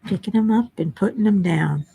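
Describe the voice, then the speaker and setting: An older woman hosting a casual livestream from home, chatting and answering viewers, her voice at times a little low and raspy from a frog in her throat. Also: moderate pace, dark tone, rough recording. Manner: chatty, self-deprecating, reads chat names aloud. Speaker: livestream host. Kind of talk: casual chat reading viewer comments. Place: home workspace.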